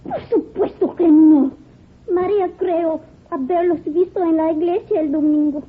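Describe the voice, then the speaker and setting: A young woman witness, defensive and hesitant, with a very high, expressive voice. The sound is a thin, narrow vintage radio drama recording. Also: moderate pace. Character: defensive young Spanish-speaking woman questioned by police. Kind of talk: 1950s radio drama acting.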